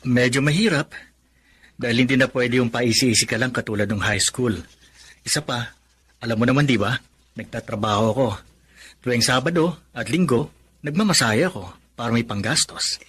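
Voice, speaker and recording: Earnest young student, husky voice. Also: male voice, medium pitch, normal speed, long pauses, fairly bright tone. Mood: easygoing, earnest. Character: hardworking blind male college student, earnest. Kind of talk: Filipino radio drama acting.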